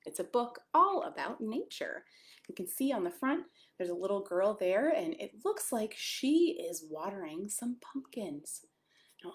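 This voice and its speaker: A woman's voice: mid-range voice, a little mellow. An American woman reading a picture book to young children, adding chatty asides and simple questions for her listeners. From United States (Maryland). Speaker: pastor reading a children's story. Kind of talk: children's storytime read-aloud with chatty asides.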